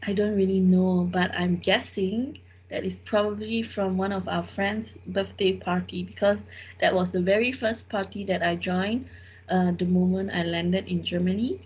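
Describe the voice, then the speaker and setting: A woman's voice: mid-range voice, steady tone, moderate pace, darkish tone, breathy edge, highs cut off. A young adult woman from Malaysia with a Malaysian accent, co-hosting a podcast in casual chat. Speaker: podcast co-host.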